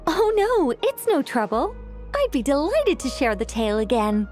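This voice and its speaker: Mellow, serene game sage. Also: female voice, high voice, very animated. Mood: gracious. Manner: measured, formal, gracious storytelling. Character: serene, learned woman sage recounting ancient lore. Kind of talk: video game lore narration. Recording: soft game music behind voice.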